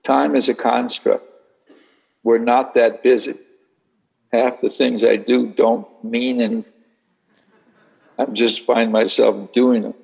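Gravelly, husky man musing to an audience. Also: mid-range voice, flat delivery, slow pace, pauses often, darkish tone, highs cut off. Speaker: speaker leading a Q&A session. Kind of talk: reflective talk answering an audience.

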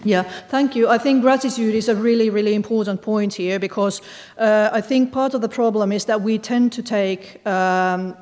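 A woman author from Finland answering audience questions, speaking thoughtfully with frequent pauses and fillers. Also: medium pitch, fairly even intonation, darkish tone, long reverb tail. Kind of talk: discussing her book with an audience.

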